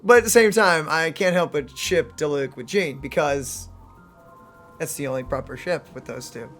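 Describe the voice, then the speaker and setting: Informal young gamer in joking commentary. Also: female voice, deep voice, normal speed, faint music behind. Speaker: let's play host. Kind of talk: casual gaming commentary.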